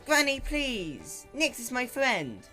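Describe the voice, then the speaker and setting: A man plays a small filly from a cartoon story, begging in a high, bright little voice. The words come drawn out and earnest, over music. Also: huge pitch swings, exaggerated voice. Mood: pleading. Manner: pleading, drawn-out. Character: pleading little filly, young girl pony.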